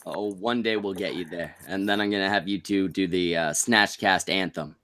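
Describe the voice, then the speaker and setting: A man from Canada hosting a casual podcast chat, crude and joking, with sudden high squeaks when he gets excited. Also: normal speed. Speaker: podcast host.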